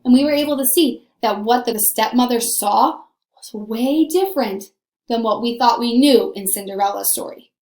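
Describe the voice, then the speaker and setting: Female primary teacher, high voice, conversational read-aloud discussion, repeats key points, questions her pupils. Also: moderate pace, a little mellow, echoey room.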